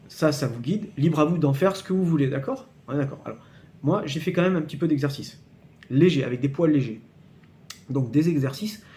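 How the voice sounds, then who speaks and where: A man in his late forties speaks casually to his viewers, pausing and repeating himself as he searches for words. He often checks in with a quick "d'accord?" and turns emphatic when he warns them to be careful. His voice sits at an ordinary middle pitch over a faint hum of background noise. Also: warm tone. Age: 40s. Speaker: fitness channel host. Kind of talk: casual explanatory vlog to camera.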